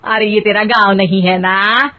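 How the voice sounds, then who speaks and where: A woman's voice: medium pitch, fairly even intonation, measured pace, muffled recording, natural voice. An actress playing an exasperated middle-aged mother-in-law in a comic cartoon story, scolding and dramatic. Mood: bossy.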